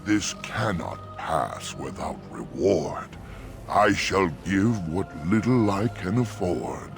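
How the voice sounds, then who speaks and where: Fantasy game casting: kindly age-old talking tree, very slow, lilting solemn rhymes over music, low register. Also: male voice, animated, creaky, full character voice. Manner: solemn archaic rhyming speech. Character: ancient gentle talking oak tree spirit, speaking in rhyme. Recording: music behind the voice.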